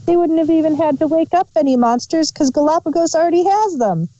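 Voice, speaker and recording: Woman just chatting, kinda high mellow voice, dull lo-fi audio. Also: lively intonation, brisk, a little hiss or hum. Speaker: podcast co-host reviewing audio dramas. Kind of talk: casual chat. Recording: dull lo-fi voice recording.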